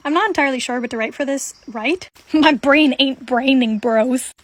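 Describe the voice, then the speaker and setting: A woman's voice: high voice, normal speed, over loud music. A young woman giving casual, improvised commentary in a giggly, slangy way, as a video creator.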